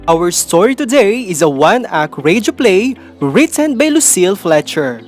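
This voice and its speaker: Music plays clearly behind a woman teacher with a Filipino accent. She narrates a scripted lesson with very lively, varied intonation at a middling pitch. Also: normal speed. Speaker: teacher presenting a video lesson. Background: background music.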